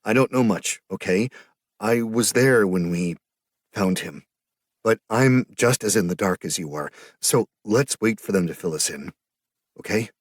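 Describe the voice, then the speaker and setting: A man voicing a young hero who calmly steadies a frightened girl. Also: lowish voice, unhurried, no room sound, clean studio sound, pitched above the performer's own voice. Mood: soothing. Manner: soothing, steadying. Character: young male superhero, calm and steadying.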